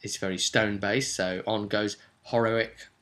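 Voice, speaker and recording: A man's voice: on the low side, steady tone, unhurried, fairly bright tone. A man talking casually as a game master recounting a role-playing session, chatty and emphatic, often breaking off into asides.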